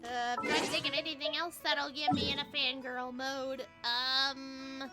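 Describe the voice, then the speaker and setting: A young woman chatting casually on a live game stream in an excitable, gushing fangirl manner. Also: high voice, measured pace. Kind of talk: casual livestream chat. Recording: music behind from game stream.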